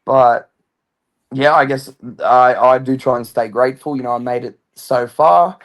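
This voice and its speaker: A young man with a loud, mid-pitched voice talks as a guest on a podcast. He is upbeat and chatty and often starts with "I feel like.". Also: fairly even intonation, measured pace. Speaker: reality show contestant, guest. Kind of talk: casual podcast interview.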